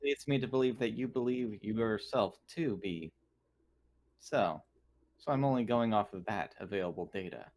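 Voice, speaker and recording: A man voicing a literal-minded, coolly defiant android in a tabletop game, with formal, halting, precise speech. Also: fairly low pitch, a little mellow, natural voice.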